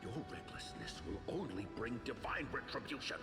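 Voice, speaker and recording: Gravelly, menacing zealot. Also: male voice, mid-range voice, very animated, normal speed. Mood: stern warning. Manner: threatening, formal. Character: stern, menacing male zealot defending his god. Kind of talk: scripted game drama. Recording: echoing hall, music behind.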